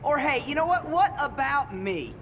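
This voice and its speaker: High, cocky young American Marine. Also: male voice, big pitch swings, moderate pace, a bit bright, a little hiss or hum, full character voice. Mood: needling. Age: young adult. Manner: needling, wisecracking. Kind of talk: game cutscene dialogue.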